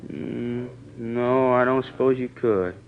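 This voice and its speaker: A chatty informant in an old radio drama who rambles and hedges before asking a favor. The voice sits at a moderate pitch. The recording is dull and lo-fi, like a vintage broadcast. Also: male voice, steady tone, slow, clear, resonant tone. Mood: sheepish. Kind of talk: 1950s radio drama acting.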